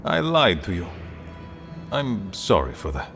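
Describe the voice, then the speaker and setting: An actor playing an aging dwarf, a weary, pragmatic conspirator speaking gravely and earnestly in a fantasy game. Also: male voice, low-pitched, big pitch swings, a little slow, a bit bright, faint music behind, full character voice. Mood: apologetic, resolute. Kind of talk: dramatic game dialogue.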